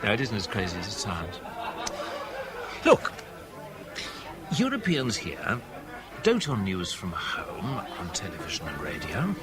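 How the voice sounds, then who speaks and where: An actor playing a shrewd, amused British fixer in a 1970s radio drama, jovial and emphatic in delivery. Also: male voice, lowish voice. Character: shrewd, amused British man with media contacts.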